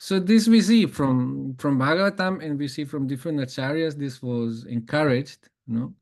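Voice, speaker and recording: A man's voice: on the high side, normal speed, darkish tone. Man, conversational. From Germany. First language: Bosnian. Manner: conversational, chuckles often, frequent tag questions. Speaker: spiritual teacher. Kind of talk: informal spiritual talk.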